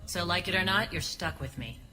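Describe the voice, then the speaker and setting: An actress playing a principled, wary alien ex-soldier who leads a resistance in a video game, earnest and measured. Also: female voice, lowish voice, expressive, lightly acted. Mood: defiant, dry. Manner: earnest, measured, dryly pointed. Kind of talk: dramatic video game acting. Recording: long reverb, noisy background.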